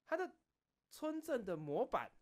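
A man chatting casually on his own as a streamer, rambling through stories in an animated, self-deprecating way. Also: very high-pitched, unhurried. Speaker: streamer and video creator. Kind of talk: casual solo chat, recounting behind-the-scenes stories.

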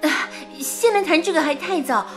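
A bashful woman in a wartime radio drama. Her high voice moves expressively yet demurely, with music clearly underneath. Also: lively intonation, moderate pace. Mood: shy. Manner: demure, hesitant.

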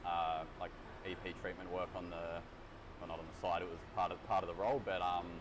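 A man's voice: sits low, little pitch movement, a little fast, background noise. A young man in his twenties, an exercise physiologist, answering interview questions casually with many fillers and restarts, recorded in a large gym studio. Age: 20s.

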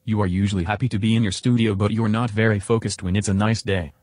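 Low-voiced earnest chatbot companion, acted by a man. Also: steady tone, fast, darkish tone, no echo, clean studio sound, lightly acted. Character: male chatbot companion, earnest, agreeable, curious.

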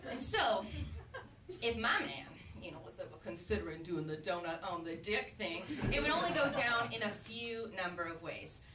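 A young woman from Canada performing a stand-up comedy set, swinging between low asides and shrieking punchlines. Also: lively intonation, moderate pace, very noisy. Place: comedy club stage, large dark room. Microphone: handheld mic on a stand cord, filmed from far. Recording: roomy, distant club recording with crowd laughter. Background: audience laughter.

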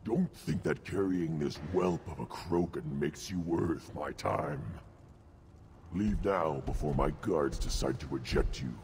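A man voicing a haughty, gruff reptilian alien ambassador in a video game, gravelly and pompous. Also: extremely low pitch, unhurried, a little mellow, slight background noise. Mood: contemptuous. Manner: pompous, contemptuous.

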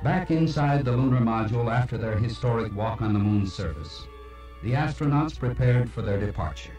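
A very roomy, distant cassette recording of a man narrating over music. His delivery is formal, measured and solemn, like a scripted slide-show documentary. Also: mid-range voice, darkish tone. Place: played from a cassette, heard in a room. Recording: echoey, distant playback of cassette tape.

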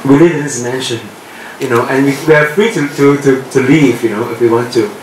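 Director guy in his 50s, lots of ums, far off in a boomy room with background hum. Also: steady tone. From Singapore. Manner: halting, many ums and restarts. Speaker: film director on a panel. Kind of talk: post-screening Q&A answer. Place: screening room, seated on a stage. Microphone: handheld mic, heard from afar. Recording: echoey room, distant, noisy.

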